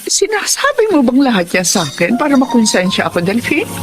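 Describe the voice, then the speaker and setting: A woman's voice: a little high, very animated, a little fast, music in the background. An actress playing a middle-aged, bitter mother in an emphatic, accusing radio melodrama style. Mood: bitter, unforgiving. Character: bitter, wounded mother who refuses to forgive her daughter. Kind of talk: Tagalog radio melodrama acting.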